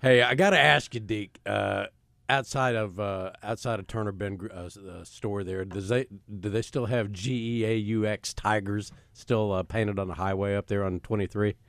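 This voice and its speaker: Deep-voiced man bantering on radio. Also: fairly even intonation, moderate pace, vocal fry, a bit bright, dry recording. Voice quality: deeper than his usual nasal voice. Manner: joking, rambling. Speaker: radio show co-host.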